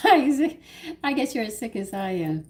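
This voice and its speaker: A woman hosting a live cooking stream, hoarse from losing her voice, chatting homily to viewers as she walks through each step. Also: fairly high pitch, big pitch swings, takes it slowly. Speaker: cooking show host. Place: home kitchen. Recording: noisy home kitchen live stream. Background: clinking dishes and cutlery.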